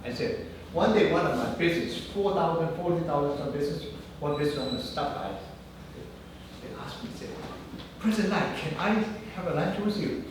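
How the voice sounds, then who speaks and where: Non-native English man, guest speaker, animated storyteller, slightly high voice, cavernous room, distant mic. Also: fairly quick. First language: not English. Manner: animated storyteller acting out dialogue. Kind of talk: anecdotal business talk. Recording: echoey room, mic far off, faint noise.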